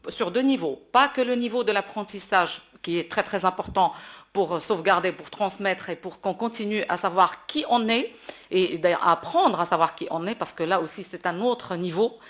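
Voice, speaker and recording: A woman's voice: medium pitch, moderate pace. Emphatic Moroccan woman speaking off the cuff. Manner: emphatic, repeats words while searching for phrasing. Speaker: classical opera singer speaking at a panel. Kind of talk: impromptu public speech at a debate.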